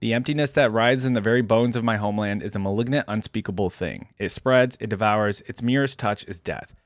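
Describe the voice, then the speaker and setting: A man reading aloud as a weary, grieving, defiant armored warrior in a solemn, measured storybook manner. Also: on the low side, no echo, clean studio sound, pitched below the performer's own voice, natural voice. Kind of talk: dramatic first-person story reading.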